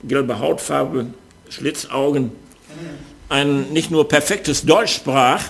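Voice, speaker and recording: An older man from the Ruhr area speaking with a Ruhr German accent, preaching an earnest, measured sermon with frequent hesitations. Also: mid-range voice, creaky.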